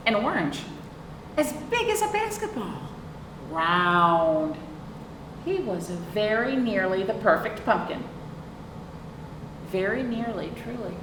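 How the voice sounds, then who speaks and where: A woman reading a picture book aloud to young children, expressively, with chatty questions and asides to her listeners. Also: a little high. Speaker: librarian hosting a children's storytime. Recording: roomy, distant microphone, clear background noise.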